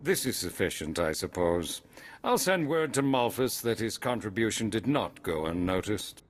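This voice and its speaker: An actor playing an aloof, grudging noble in a video game. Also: male voice, on the low side, big pitch swings, normal speed. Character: aloof, grudging male noble, hard to please. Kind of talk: scripted video game voice acting.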